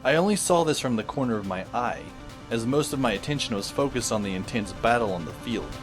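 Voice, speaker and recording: A man's voice: medium pitch, lively intonation, brisk, natural voice. Man playing wry teenage narrator over music. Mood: wry, intent. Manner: wry, wordy, descriptive. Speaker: first-person narrator. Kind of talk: scripted audio drama narration. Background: music behind the voice.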